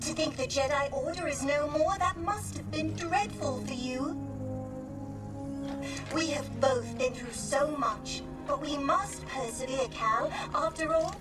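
A woman voicing a serene, wise counselor in a video game, speaking formally, gently measured and gracious. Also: high voice. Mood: somber, compassionate. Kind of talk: video game cutscene acting. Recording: distant, under loud music.

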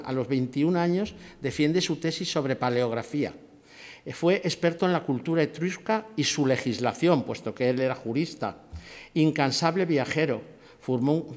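A man in his sixties giving an academic lecture from a table at a conference, measured and often restarting his phrases. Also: medium pitch. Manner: measured, with frequent restarts and fillers. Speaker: conference speaker. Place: lecture hall, seated at a table with a laptop. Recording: long hall reverb.

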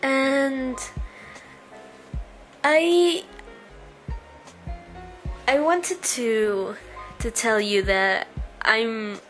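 A woman's voice: a little high, fairly even intonation, very slow, long pauses. A young woman from Uruguay with a Spanish accent, a native Spanish speaker, chatting hesitantly over a speedpaint video with many ums and restarts. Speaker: artist answering viewer questions. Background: soft background music.

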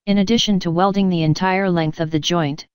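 A woman giving a measured, even, scripted narration as an instructor, explaining technical material step by step. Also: on the low side, smooth, no echo, muffled recording.

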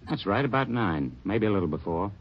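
Husky, lively American hotel manager. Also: male voice, fairly low pitch, brisk. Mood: defensive, helpful. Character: plain-talking, defensive male small hotel manager. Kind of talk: 1950s radio drama acting. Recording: dull old radio recording.

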